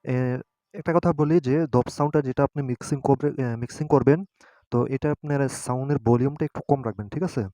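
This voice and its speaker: Dark-voiced man giving a tutorial. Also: mid-range voice, fairly even intonation, fast talker, no echo. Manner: repeatedly adds a checking tag question. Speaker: tutorial host. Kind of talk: casual screen-guided app tutorial.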